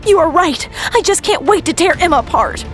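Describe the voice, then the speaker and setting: A woman's voice: very high-pitched, expressive, fairly quick, faint music behind. A woman playing a spiteful, scheming young model in an audio drama, with a petulant, melodramatic delivery. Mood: bitter. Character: spiteful, scheming young female model and mistress, prone to tantrums.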